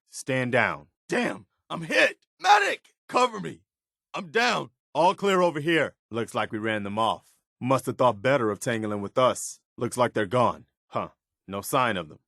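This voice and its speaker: A man's voice: mid-range voice, vocal fry, a bit bright, no echo, exaggerated voice. A principled, battle-weary militia soldier from a video game. He is earnest and direct, with clipped military phrasing and a loyal streak. The sound is very dry, with no room around the voice. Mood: watchful, then pained, strained. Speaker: video game companion character. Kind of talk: scripted game dialogue and combat barks.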